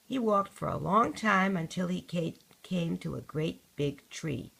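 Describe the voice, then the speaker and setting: Older female storybook reader, grandmotherly role, raspy and lowish, expressive and lively with deliberate emphasis. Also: poor audio. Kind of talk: expressive read-aloud children's story.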